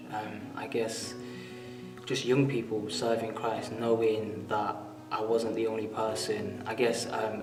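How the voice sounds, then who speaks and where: A man in his twenties giving an earnest, measured church testimony with frequent pauses. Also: lowish voice. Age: 20s. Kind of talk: testimony interview. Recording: echoey hall, mic far off, music behind.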